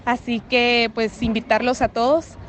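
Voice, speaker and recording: A Guatemalan woman speaks with a Guatemalan Spanish accent in a slightly high voice. She is an aid worker and makes a warm, encouraging appeal. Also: little pitch movement, unhurried, background noise.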